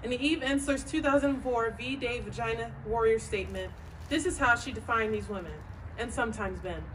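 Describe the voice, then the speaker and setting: A woman reading a scripted statement as presenter, in declarative, measured phrases. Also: a little high, fairly bright tone. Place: live event at a bar and grill. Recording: noisy, distant live recording.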